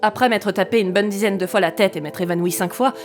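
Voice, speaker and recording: Man playing a plaintive, high-pitched housewife. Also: fast, faint music behind, close to an everyday voice. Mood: pained, earnest. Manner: plaintive, melodramatic. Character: distraught, daft housewife and mother, adult woman. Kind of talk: comedic French audio drama acting.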